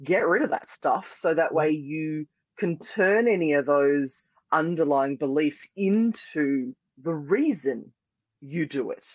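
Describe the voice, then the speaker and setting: A man's voice: a little high, animated, takes it slowly, no echo. A man with a slightly raised voice explains his practice in long, flowing, earnest sentences. He leans on words like "beautiful" and "powerfully". The sound is dry and muffled, like a lo-fi remote call. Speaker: hypnosis and NLP practitioner, guest. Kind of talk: interview answers, explaining.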